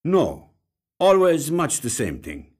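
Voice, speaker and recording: A weary, grave male detective, acted by a story reader, speaks slowly and deliberately. His pitch sits raised and he leaves heavy pauses, as in an audio short story. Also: wildly swooping pitch, a little mellow, breathy edge, full character voice. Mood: matter-of-fact, ominous.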